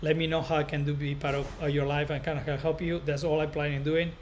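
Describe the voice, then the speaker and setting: A man from northern Spain with a Spanish accent, hosting a casual live stream as a barber educator and chatting to viewers in a rambling, halting way, often repeating himself. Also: a little high, flat delivery, a little hiss or hum.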